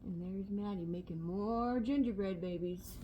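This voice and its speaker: Mellow older woman reading a storybook. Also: medium pitch, measured pace, highs cut off, slight background noise. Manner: homely, chatty, pointing things out. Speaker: grandmother reading to a grandchild. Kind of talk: storybook read aloud with asides to a child.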